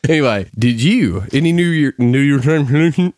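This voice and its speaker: A man co-hosting a podcast, teasing and telling quick stories. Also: mid-range voice, no room sound, clean studio sound. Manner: teasing, animated storyteller. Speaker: podcast co-host. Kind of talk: casual banter.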